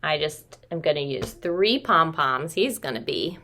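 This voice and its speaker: A woman's voice: low voice, lively intonation, measured pace, bright, forceful tone, slight background noise. A woman in her thirties, a preschool teacher, talking simply to young children through a craft demonstration at home. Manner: simple, child-directed talk, addressing listeners as friends. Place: small room at home.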